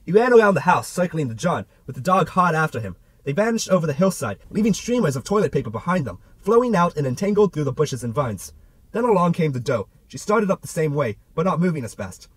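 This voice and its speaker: A man's voice: fairly high pitch, sing-song, fast, husky, low-quality recording. A man narrating a literary novel as an amateur audiobook reader, with a theatrical delivery.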